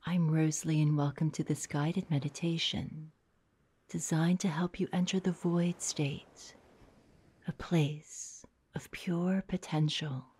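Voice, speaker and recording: A woman guides a meditation in a soft, breathy half-whisper, soothing and unhurried, leaving long pauses between short phrases. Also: low voice, fairly even intonation.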